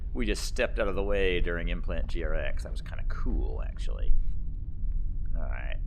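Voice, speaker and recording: Animated, bright-voiced male gamer. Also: deep voice. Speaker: gaming video host. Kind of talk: casual gameplay commentary. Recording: faint background noise.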